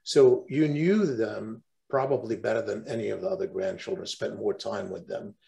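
A man's voice: fairly low pitch, normal speed, vocal fry, dark tone. An older man moderating a formal online tribute, speaking formally as he frames questions on a video call. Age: 60s or 70s. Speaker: host, moderator, professor. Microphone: video call microphone.

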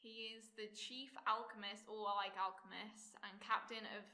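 A woman's voice: medium pitch, fairly even intonation, normal speed, bright, forceful tone, reverberant. A woman, chatting casually as co-host of a reaction video.

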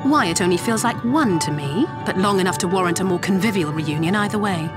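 A woman's voice: fairly low pitch, expressive, fairly quick, lightly acted. A woman playing a self-possessed, direct adventurer in a fantasy video game, speaking formally and with poise. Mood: dry, teasing. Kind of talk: dramatic video game voice acting. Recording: music behind the voice.

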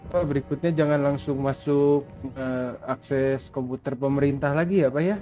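A man plays an official in an improvised game roleplay. His voice sits at a medium pitch and he gives firm yet courteous orders, with music clearly audible behind him. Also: steady tone, moderate pace, mellow, highs cut off. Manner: polite but firm instructions.

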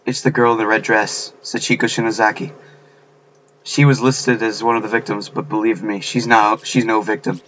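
A man voicing a shaken, solemn schoolgirl who has witnessed murders, in a grave, hushed delivery for a game. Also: fairly low pitch, flat delivery, moderate pace, highs cut off, a little hiss or hum, lightly acted.

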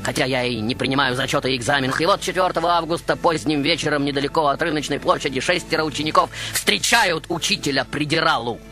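A man playing a proud, stubborn baroque composer, telling his story as a theatrical radio monologue with rhetorical pauses. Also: fairly high pitch, sharp tone. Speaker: radio show host in character. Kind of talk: dramatic first-person radio monologue.

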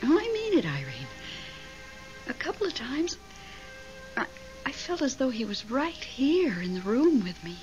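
A woman's voice: high-pitched, big pitch swings, unhurried, long pauses, a little mellow. A woman acting in a 1970s radio drama as a grieving widow, wistful and insistent. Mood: wistful, earnest. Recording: dull old radio recording with music.

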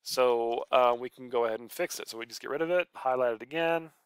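Man, smooth mid-range voice, dry sound, informal tutorial presenter talking through each step. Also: medium pitch, fast talker, no echo. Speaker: college professor and author giving a software tutorial. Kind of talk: casual screencast tutorial.

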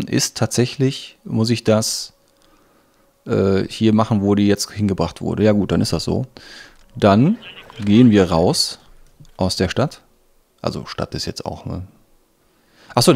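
A man's voice: on the low side, gravelly, darkish tone. A man giving casual gameplay commentary, thinking aloud in an offhand, colloquial way. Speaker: let's play host.

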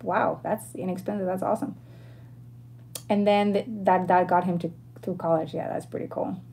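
A woman's voice: on the low side, fairly even intonation, normal speed, gravelly, a little mellow, slight background noise, low-quality recording. A woman in her thirties from Argentina hosting a casual livestream, chatting informally and in a friendly way with her viewers. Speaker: livestream host. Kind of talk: casual livestream chat, answering viewer questions. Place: bedroom at home, indoors.

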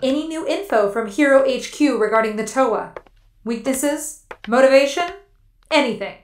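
A woman's voice: high-pitched, moderate pace, recorded from a distance, slight background noise, close to an everyday voice. A woman voicing a theatrical, commanding alien robot hero leader in a cartoon comic dub. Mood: stern. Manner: theatrical, authoritative. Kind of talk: animated comic dub acting. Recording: roomy, off-mic, music behind.

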